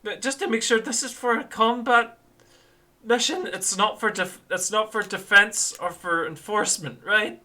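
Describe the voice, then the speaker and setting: Male player voicing a harried bureaucrat who rambles through endless rules; keep it bright and fussy, voice chat sound. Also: high voice, normal speed. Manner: rambling, tangled in rules and forms. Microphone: online voice chat mic.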